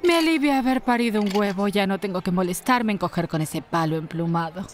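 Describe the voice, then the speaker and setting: A woman's voice: mid-range voice, fairly quick, noisy background, lightly acted. A woman voicing a haughty, spiteful owl princess in a Latin American Spanish cartoon dub, with a theatrical, scornful delivery. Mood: mocking, gossipy. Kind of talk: animated cartoon fan dub.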